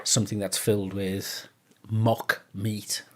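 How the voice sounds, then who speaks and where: A man hosting a podcast in casual chat, often halting and repeating words and phrases as he talks. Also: on the low side, little pitch movement, fairly bright tone. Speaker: podcast host.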